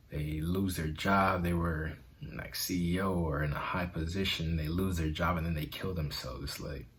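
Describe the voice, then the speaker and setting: A man's voice: deep voice, a little hiss or hum. A man vlogging in an earnest, conversational way about self-acceptance, with a stutter. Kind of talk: motivational vlog talk.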